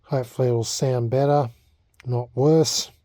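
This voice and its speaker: A man's voice: medium pitch, fairly even intonation, a little slow, warm tone, no room sound. A man with an Australian accent talking casually and unscripted in a hobby restoration vlog, hesitant, laid-back and self-deprecating. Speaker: vlogger.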